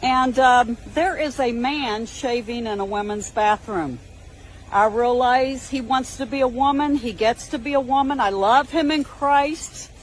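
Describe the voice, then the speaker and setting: A woman with a fairly high voice in a played video clip. She speaks calmly and matter-of-factly, with faint background noise. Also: measured pace, poor audio. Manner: calm, matter-of-fact, slightly religious phrasing. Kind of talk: personal video monologue.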